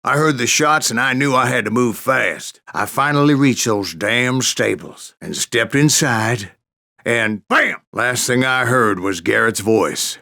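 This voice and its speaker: An actor playing a grizzled old gunslinger, telling boastful tales in a gravelly American Western drawl with a folksy, sardonic manner. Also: male voice, medium pitch, unhurried, pushed and bright. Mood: wry, boastful. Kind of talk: dramatic first-person storytelling.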